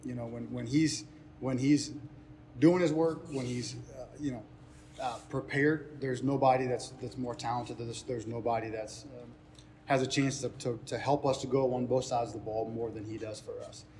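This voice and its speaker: A man's voice: medium pitch, fairly even intonation, moderate pace, darkish tone. A man in his thirties, a sports coach, giving earnest, explanatory unscripted answers in a press interview, often repeating phrases for emphasis. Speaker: head baseball coach. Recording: ambient noise behind speech.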